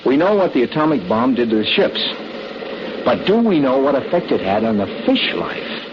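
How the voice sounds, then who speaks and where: An actor playing a steady, determined castaway who takes charge in an old-time radio drama, speaking deliberately. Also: male voice, mid-range voice, natural voice. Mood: grave, revelatory. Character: steady, determined man stranded on a tropical island. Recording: noisy, muffled vintage radio recording.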